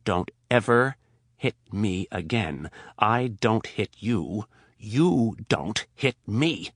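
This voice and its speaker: A hard-edged man who talks slowly and deliberately, keeping himself in check, as the narrator plays him. The voice is husky and close, with a lively pitch. It is read in a dry booth, as in an audiobook. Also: no echo, exaggerated voice. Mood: cold. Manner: firm, deliberate, controlled. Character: tough male operative, steady and firm. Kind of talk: audiobook character voice.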